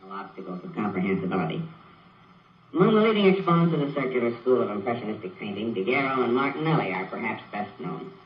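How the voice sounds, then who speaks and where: An actor playing a pompous, wordy art expert lecturing on a playback in an old radio drama. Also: male voice, medium pitch, sing-song, fast talker, natural voice. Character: pompous male art expert heard on a recording. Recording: echoey, muffled, noisy old radio playback.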